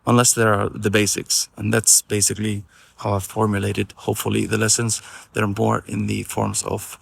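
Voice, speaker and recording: A man from Iceland teaching an online filmmaking class in a relaxed, gently encouraging way, with some fillers when he talks off the cuff. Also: on the low side, moderate pace, vocal fry, breathy edge. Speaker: film teacher. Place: outdoors in nature. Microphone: phone recording nearby.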